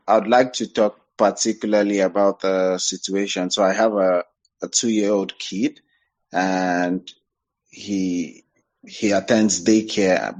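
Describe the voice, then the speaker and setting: A man speaking conversationally as an audience member, politely and a little hesitantly. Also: lowish voice. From Nigeria. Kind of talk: conversational question in an online discussion.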